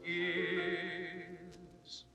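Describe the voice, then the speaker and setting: Ceremonial hymn singer for classical theatre: drawn-out, lyrical, solemn, heard from a distance over music. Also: female voice, on the low side, completely flat delivery. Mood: solemn, celebratory. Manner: slow sung hymn. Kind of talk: classical theatrical song in audio drama.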